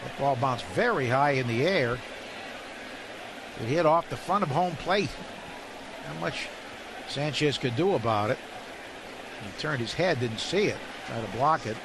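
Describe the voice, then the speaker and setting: A man's voice: medium pitch, lively intonation, measured pace, long pauses. A man doing live radio baseball play-by-play in clipped, descriptive phrases from a stadium booth. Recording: loud ballpark crowd and ambient noise.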